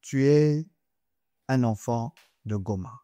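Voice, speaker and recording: A man interviewing a guest, asking measured, formal questions. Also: mid-range voice, warm tone, no echo.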